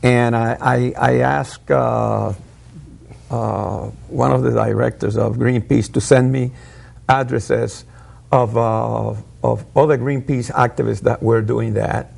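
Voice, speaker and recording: A man born in Puerto Rico, a guest on a talk show, telling his story haltingly with many pauses and restarts. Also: mid-range voice, vocal fry, darkish tone.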